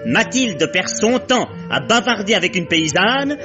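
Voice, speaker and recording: An actor playing a playful storyteller who announces chapters theatrically in an old French radio serial. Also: male voice, sits high, big pitch swings, a little slow. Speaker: chapter narrator. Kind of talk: theatrical scripted narration in old radio manner. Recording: muffled old radio with loud music.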